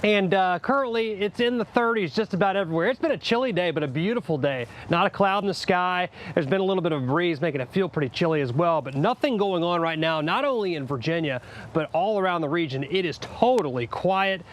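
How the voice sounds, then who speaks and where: A man giving a live TV weather forecast in a chatty, relaxed way, with fillers. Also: high voice, fairly quick. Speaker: TV meteorologist.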